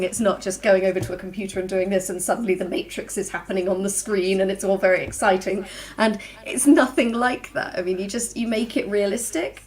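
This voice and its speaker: A woman author talking casually on a livestream, chatty and quick, laughing easily. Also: medium pitch. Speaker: author guest.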